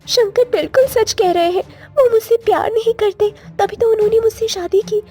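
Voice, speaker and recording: Very high-pitched long-suffering young village wife played by a woman. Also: normal speed, mellow. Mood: sad, pleading. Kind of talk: Hindi moral-story cartoon dubbing. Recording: faint music behind the voice.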